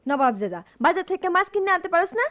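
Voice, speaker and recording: A young daughter in a radio play, outspoken and quick to scold. Her Dhaka-area Bangladeshi speech is very high, fast and full of swoops. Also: sing-song, a bit bright, exaggerated voice. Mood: scolding, annoyed. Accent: Bangladeshi, Dhaka-area dialect. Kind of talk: radio drama acting.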